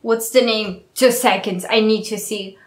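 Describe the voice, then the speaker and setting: A woman's voice: mid-range voice, steady tone, unhurried. Gushing young woman reacting to music. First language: Portuguese. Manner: gushing, exclamatory, laughs often. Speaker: K-pop reaction vlogger. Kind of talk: casual reaction commentary. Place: small bright room at home, indoors. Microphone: earphone headset mic. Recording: roomy home echo with faint music behind.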